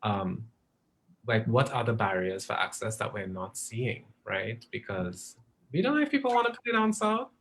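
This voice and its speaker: A man's voice: lowish voice, sing-song, normal speed, fairly bright tone, a little hiss or hum. A man speaking as a panelist in a live discussion, asking questions in a tentative, searching way with many fillers.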